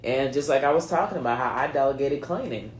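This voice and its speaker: A woman's voice: rumbling low, animated, fast talker, a little hiss or hum. A woman of nearly forty with a mixed US East Coast accent reads cards for her viewers. She talks in a loose, slangy, chatty way, addressing them directly. Her voice bounces around an echoey room. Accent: mixed US East Coast (New England, Philadelphia, North Carolina, Delaware). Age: late 30s. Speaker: card reader. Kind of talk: casual spoken card reading for viewers.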